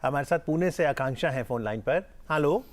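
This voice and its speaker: A man with a moderately high voice hosts a live phone-in show. He moderates the discussion and puts questions to expert guests. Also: expressive, a little fast. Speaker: host, moderator.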